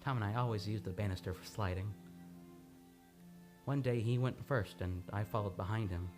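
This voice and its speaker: Guy playing haunted earnest man in an old radio drama, halting delivery, music underneath. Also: lowish voice, lightly acted. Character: haunted male amnesia patient recovering his memory, tender and earnest. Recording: studio radio play with music behind.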